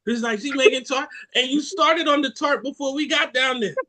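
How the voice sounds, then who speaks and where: Jamaican male podcast co-host, higher-pitched voice, playful storyteller who acts out what people said. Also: moderate pace. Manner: animated storyteller, joking, acts out quotes. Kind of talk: casual chat.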